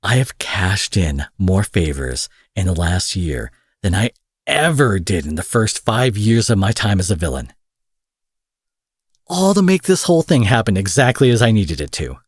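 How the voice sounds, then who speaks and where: A man acts out an angry supervillain captor in a roleplay audio drama, his voice lowish, husky and very close and dry. He is theatrical and profane, taunting with mock sweetness and sarcastic menace. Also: big pitch swings, moderate pace, no echo. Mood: smug, taunting.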